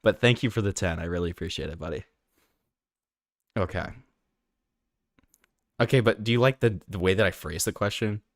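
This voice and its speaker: A man's voice: low-pitched, moderate pace, pauses often, dry recording, clean studio sound. A young man hosting a livestream, rambling about his poll with lots of filler words. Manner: rambling, filler-heavy, jokey. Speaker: streamer host. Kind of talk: casual livestream chat.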